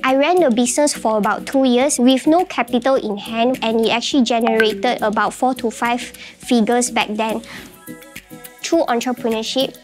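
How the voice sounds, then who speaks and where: Malaysian woman in her 20s, high-pitched, earnest and measured, faint music underneath. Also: fairly even intonation. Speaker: entrepreneur. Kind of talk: personal story narration.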